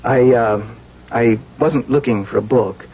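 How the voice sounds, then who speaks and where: An actor playing an earnest, anxious county engineer in a seventies radio drama, stammering and pleading hesitantly. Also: male voice, medium pitch, measured pace, over loud music, lightly acted. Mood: nervous, earnest. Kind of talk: 1970s radio drama acting. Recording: dull lo-fi old radio broadcast.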